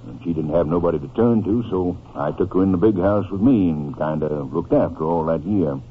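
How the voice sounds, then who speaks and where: An actor playing a humble, regretful older Texas rancher in a 1950s radio drama, speaking in a folksy, halting American voice. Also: male voice, low voice, close to an everyday voice. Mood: wistful. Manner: folksy, halting drawn-out delivery. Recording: muffled, noisy old radio recording.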